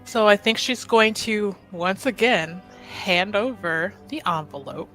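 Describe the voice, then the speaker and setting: A woman's voice: mid-range voice, a little slow, fairly bright tone, faint music behind. A woman casually describing what her character does as a player in a tabletop roleplaying game.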